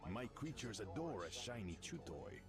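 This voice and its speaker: A man's voice: lowish voice, very animated, normal speed. A man voicing a haughty, menacing undersea ruler in a video game, imperious and taunting. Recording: game audio with music.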